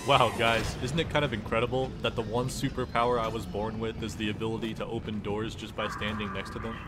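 A man's voice: on the low side, level tone, quick pace, very noisy. A man doing a sarcastic, foul-mouthed comedic dub of a video game. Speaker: parody dubber voicing the game's protagonist. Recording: loud music behind the voice. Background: game music.

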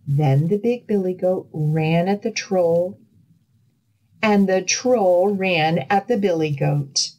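A middle-aged woman leading children's storytime, speaking expressively and deliberately in simple phrases for young children. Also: on the low side, dark tone, muffled recording. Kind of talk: children's storytime reading and instruction.

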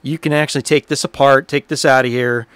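A man talks through a repair in a casual, unhurried manner, thinking out loud. His voice sits at an average pitch. The sound is dry, with very little room in it. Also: fairly even intonation, clean tone, no echo. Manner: informal, unhurried, thinking aloud. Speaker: repairer presenting his own workshop video. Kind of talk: casual hands-on repair commentary.

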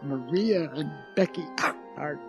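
An actor playing a shaken, grieving parent giving testimony in an audio drama. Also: male voice, on the high side, slow, gravelly, lo-fi sound, over music. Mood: shaken, grave. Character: shaken, grieving parent recounting a family tragedy.

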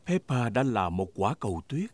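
A man narrating a children's cartoon from a script in an expressive storytelling way. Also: mid-range voice, moderate pace, noisy background. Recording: music behind the voice.

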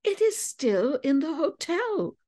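A woman's voice: sits high, sing-song, slow, dark tone, voice pushed up, full character voice. A woman voicing a confident, clever young woman detective in an audiobook story, articulate, exclamatory and commanding. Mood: excited.

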